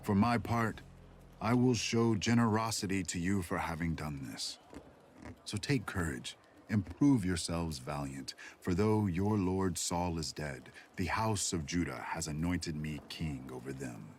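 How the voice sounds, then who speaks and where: Regal, resolute young ruler's speech, low-pitched, solemn, faint background ambience. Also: male voice, a little slow, a little hiss or hum. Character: newly anointed king, gracious and resolute leader. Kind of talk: dramatized scripture audio drama.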